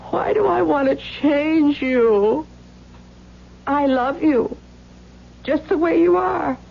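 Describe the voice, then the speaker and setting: An actress playing an anxious, loving wife in an old-time radio drama, emotional and pleading. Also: female voice, sits high, lively intonation, slow pace, vocal fry, heavily acted. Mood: tender. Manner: emotional, pleading, repeats phrases. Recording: muffled, noisy old radio recording.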